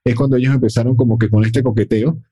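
A man's voice: medium pitch, fairly even intonation, fast, warm tone, breathy edge, lo-fi sound. A man chatting casually as a podcast co-host, speaking fluently and filling in facts and details. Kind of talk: casual chat.

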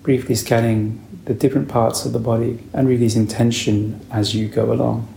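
A man leading a guided meditation as a teacher, calm, unhurried and soothing in his instruction. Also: mid-range voice, creaky, reverberant, a little hiss or hum.

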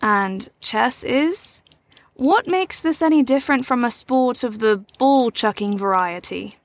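A woman playing a poised, probing middle-aged mentor in an audio drama, speaking in a formal, theatrical, measured way. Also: a little high, animated, a little hiss or hum. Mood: probing, lecturing. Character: poised, probing female mentor and chess player. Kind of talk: scripted audio drama acting.

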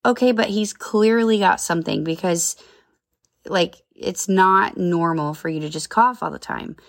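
This is a woman in her thirties chatting on her own podcast, upbeat and full of side notes. Her voice sits low, and a cold leaves it a little nasal and congested. The sound is dry and close, with no room around it. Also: a little slow, gravelly, no echo. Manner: chatty, upbeat, rambling with asides. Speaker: podcast host. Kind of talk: casual solo podcast chat.

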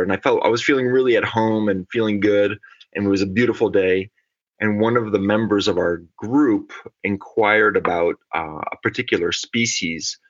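Middle-aged ecologist guest, low voice, reflective and measured, dull lo-fi recording. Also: male voice. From California. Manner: thoughtful, measured, with restarts. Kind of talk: conversational podcast interview. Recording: dull lo-fi audio.

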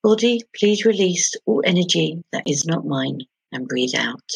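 A woman leading a guided sleep meditation in a soothing, gentle, hushed manner, pausing between short phrases. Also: low voice, animated, gravelly, breathy edge, no room sound, rough recording. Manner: soothing, hushed, gentle instruction with long pauses.

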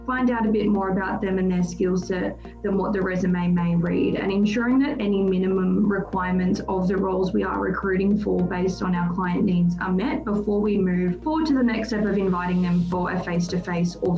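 A woman's voice: mid-range voice, fairly quick, hardly any pauses. A woman recruiter giving a prepared explanatory talk on a podcast. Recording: roomy, dull lo-fi sound. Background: music.

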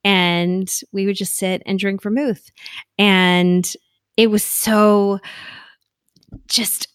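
A woman's voice: mid-range voice, level tone, drawn-out words, clean tone, no echo, clean studio sound. A middle-aged woman co-hosting a podcast, chatty and giggly, rambling through a personal story. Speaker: podcast co-host. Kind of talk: casual conversational chat.

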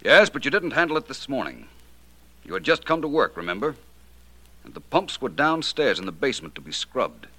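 An actor playing a confident American detective in 1940s radio style, speaking deliberately with self-assured, dramatic emphasis. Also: male voice, medium pitch, big pitch swings, sharp tone. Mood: pointed, accusing. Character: confident, sharp-witted male detective explaining a murder case.

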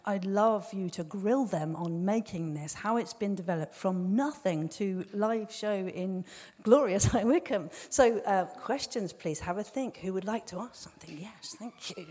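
A woman's voice: mid-range voice, a little slow, few pauses, mellow. A woman hosting a live question-and-answer session in a jokey, giggly, informal way. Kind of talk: casual live event hosting. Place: live event room at a festival. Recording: echoey hall, long reverb.

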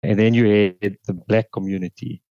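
A man from South Africa speaks as an interview guest about his upbringing. He talks in a halting, hedging way, often restarting his sentences. His voice sits low, and the recording is dry and slightly dull. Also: fairly even intonation, a little mellow, no room sound. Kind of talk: casual interview testimony.

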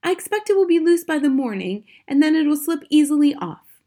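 A woman voicing a bossy, scolding wife and mother in a storybook, with a sharp, nagging manner. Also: sits high, very animated, a little fast, voice pushed up. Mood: exasperated.

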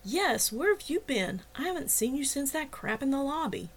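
A woman's voice: mid-range voice, animated, moderate pace, a bit bright, natural voice. A woman voicing an indignant heroine in an audiobook, speaking in heated, clipped, challenging phrases. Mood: bitter, challenging. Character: indignant woman narrator-heroine, standing her ground. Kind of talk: dramatized audiobook dialogue.

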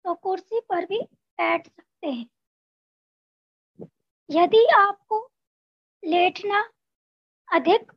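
Very slow, high-voiced Indian woman guiding relaxation. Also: dry recording, muffled recording. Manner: slow, pausing between short phrases, instructing gently. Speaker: guided relaxation narrator. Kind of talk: scripted guided meditation narration.

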